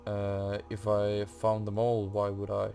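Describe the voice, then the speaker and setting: A man's voice: on the low side, completely flat delivery, a little slow, gravelly, over music. A man giving a casual game walkthrough, hesitantly muttering to himself and reading text off the screen. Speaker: gaming video host. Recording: faint noise from a fan. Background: computer or room fan.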